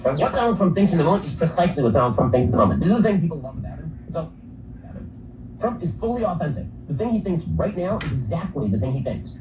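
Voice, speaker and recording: A man's voice: fairly high pitch, sing-song, fast, recorded from a distance, noisy background. A man giving emphatic political commentary on a broadcast, repeating himself for stress, played through a radio speaker. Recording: dull radio speaker in echoey room.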